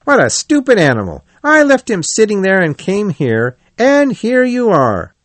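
A man's voice: high-pitched, huge pitch swings, unhurried, no echo, voice pushed up, exaggerated voice. A man voicing a bold, chatty otter in a storybook, swaggering and full of exclamation. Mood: excited, indignant. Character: bold, boisterous male otter, confident and chatty.

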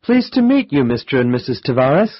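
A man voicing Tom, a polite young man in a scripted English textbook dialogue, enunciating clearly for learners. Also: medium pitch, sing-song, fairly quick. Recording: muffled lo-fi tape.

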